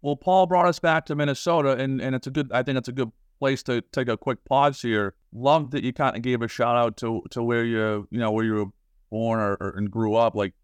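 A man's voice: medium pitch, steady tone, a little fast, smooth, no echo. A man co-hosting a podcast, asking casual, meandering questions and often repeating words as he goes. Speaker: podcast co-host, interviewer. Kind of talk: casual conversational interviewing.

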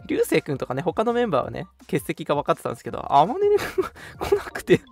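A man's voice: fairly high pitch, wildly swooping pitch, racing, a little mellow, faint music behind. A young man hosting a live radio chat, moving between polite, apologetic announcements and casual banter. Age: young adult. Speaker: host of an online radio show.

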